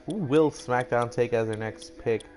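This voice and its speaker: A man's voice: mid-range voice, moderate pace, darkish tone, soft background music. A young man doing casual, rambling mock-announcer commentary for a video game draft, swearing now and then. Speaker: gaming YouTuber.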